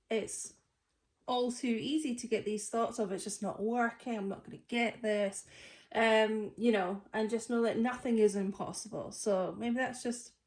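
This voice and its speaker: A woman's voice: fairly high pitch, darkish tone. Stuffy-nosed woman reading tarot cards. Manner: chatty, lots of fillers and restarts. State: stuffy from a cold. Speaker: tarot reader. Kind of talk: casual tarot reading to camera. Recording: echoey room.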